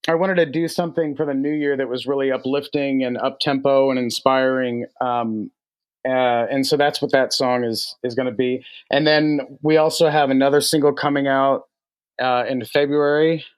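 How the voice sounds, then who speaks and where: A man from Texas speaking as a musician guest in a casual interview, a little halting. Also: mid-range voice, smooth, no room sound. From United States, raised in Texas. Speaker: singer-songwriter guest.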